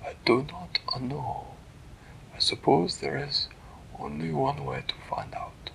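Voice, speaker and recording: A man's voice: on the high side, slow pace, vocal fry, fairly bright tone, whispered, pitched above the performer's own voice, exaggerated voice, low-quality recording. Man doing a prim, polite storybook creature. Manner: formal, courteous, hesitant.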